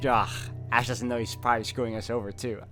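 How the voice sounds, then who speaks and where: A man's voice: mid-range voice, level tone, fast. Male gaming host, mid-range voice, story narration mixed with casual asides, prominent music bed. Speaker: let's-play host. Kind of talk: reading visual novel narration aloud with casual commentary. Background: loud music.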